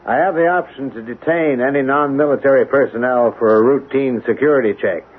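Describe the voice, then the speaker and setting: A man portrays a calmly stubborn military colonel in a 1970s radio drama. He talks in a measured, placating, officious way at a medium pitch. The sound is very narrow and tinny. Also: close to an everyday voice. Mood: coolly firm. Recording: narrow-band, hissy 1970s radio recording.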